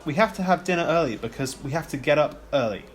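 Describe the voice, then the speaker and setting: A man's voice: fairly high pitch, animated, quick pace, slight background noise, close to an everyday voice. Man playing an awkward, polite young son. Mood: apologetic, pleading. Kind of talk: radio play acting.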